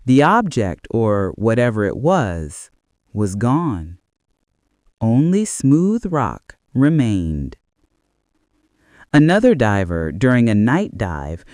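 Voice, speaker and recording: A man narrating a scripted bedtime mystery story in a measured, deliberate way with dramatic pauses. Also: medium pitch, mellow, no room sound, studio quality.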